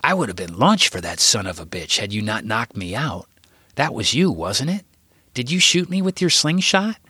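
A man's voice: medium pitch, big pitch swings, moderate pace, breathy edge, no room sound, studio quality, full character voice. A man voicing an injured teenage boy who patiently reassures his little brother. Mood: reassuring. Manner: gentle, reassuring.

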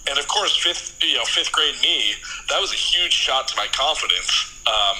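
An American man in his late twenties speaks at a middling pitch. He tells comic stories casually, like a guest on a podcast. The recording has obvious noise and clicks behind him. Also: animated, normal speed, pushed and bright, rough recording. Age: late 20s.

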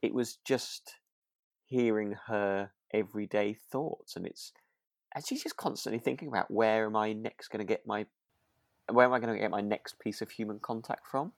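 A man co-hosting a podcast in relaxed chat, hesitant and correcting himself as he thinks aloud. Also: lowish voice, moderate pace, vocal fry, no room sound, studio quality. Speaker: podcast co-host. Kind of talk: relaxed chat reviewing a radio drama.

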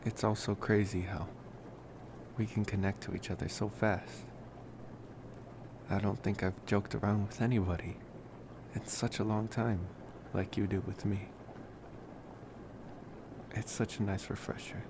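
Over clear background noise comes a smitten young male vampire, acted by a man in an intimate ASMR roleplay. He speaks very slowly in a lowish voice, soft and hesitant, leaving affectionate pauses. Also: flat delivery. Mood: tender, smitten.

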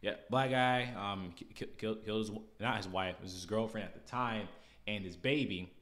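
An American man with a bright, forward voice that sits a little on the low side. He hosts a podcast and talks casually through his opinions. His delivery is blunt and slangy, with an exasperated edge. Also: normal speed. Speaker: podcast host.

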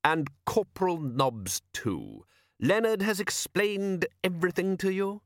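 Man as a measured, quietly menacing storybook ruler. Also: sits high, big pitch swings, dry recording, clean studio sound, pitched above the performer's own voice, exaggerated voice. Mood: smooth politeness. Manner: slow, deliberate, formally polite.

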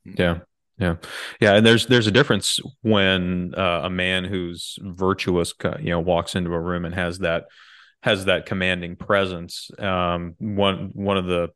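A man speaking casually on a podcast, reflective and halting, often repeating words as he works through a thought. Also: deep voice, level tone, a bit bright, smooth, no room sound, studio quality. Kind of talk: casual discussion.

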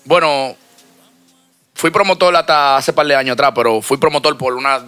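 A man's voice: mid-range voice, steady tone, normal speed, sharp tone, smooth, dry recording, faint music behind. A man with a Dominican accent, a guest on a podcast, talking in a confident, streetwise way and repeating himself for emphasis. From born in New York, raised in the Dominican Republic. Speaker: guest, record label owner. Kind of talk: casual interview.

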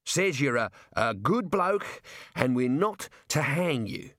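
A hearty jailer from a comic storybook, played by a male narrator, chummy and admiring. He talks colloquially with raised, swooping pitch in a dry, close sound. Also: lively intonation, normal speed, fairly bright tone, no echo. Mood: eager, shouting. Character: cheerful, matey male prison jailer, rough and admiring.

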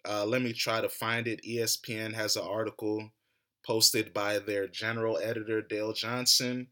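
A man's voice: on the low side, flat delivery, normal speed, pushed and bright. A young American man hosting a podcast, ranting hotly and mockingly about a soccer match. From United States. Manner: heated, mocking rants. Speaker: podcast host. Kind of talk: animated solo soccer commentary.

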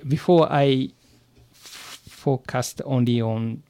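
A man with a Japanese accent, a native Japanese speaker, giving careful, halting interview answers in English as a podcast guest and learner. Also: medium pitch, darkish tone, clean tone, dry recording. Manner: hesitant, searching for words, halting phrases.

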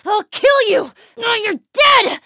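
A woman's voice: very high-pitched, animated, slow pace, sharp tone, no room sound, studio quality, full character voice. An actress playing a tough, foul-mouthed, wry young woman survivor in a naturalistic video game performance. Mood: enraged, struggling. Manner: muttering, terse, casually profane.

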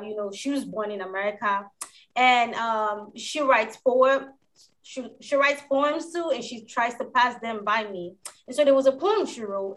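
A woman from Africa, co-hosting a casual discussion, explaining her views in a reflective, measured way. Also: fairly high pitch, a bit bright, low-quality recording.

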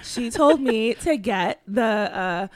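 A talkative woman guest on a casual podcast, her voice a bit hoarse. She chats in a bubbly, upbeat way. The sound is dry and close, with no room echo. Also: a little high, lively intonation, slow. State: slightly hoarse. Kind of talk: casual chat.

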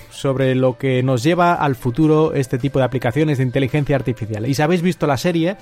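A male podcast host with an accent from Spain speaks in a somewhat high voice. He narrates alone in a conversational, storytelling style. Faint music plays behind him. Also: a little mellow. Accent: Spanish from Spain. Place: at home.

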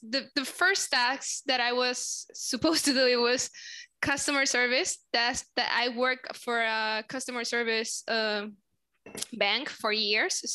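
A young woman from Puerto Rico with a Spanish accent, a guest on a podcast answering questions casually and often pausing to search for words. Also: on the high side, sharp tone, clear, resonant tone. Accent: Puerto Rican Spanish. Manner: searching for words, frequent fillers. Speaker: social media manager, guest.

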